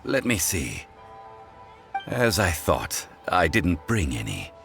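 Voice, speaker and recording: A man voicing a calm, learned, dignified consultant in a fantasy video game, speaking in a measured, formal way. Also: low-pitched, gravelly, faint music behind, exaggerated voice. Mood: mildly apologetic. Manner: measured, formal, deliberate delivery.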